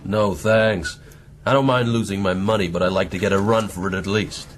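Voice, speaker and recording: An actor playing a seasoned seal hunter, wry and sceptical, in a radio drama. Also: male voice, low voice, steady tone, moderate pace, a little hiss or hum, lightly acted.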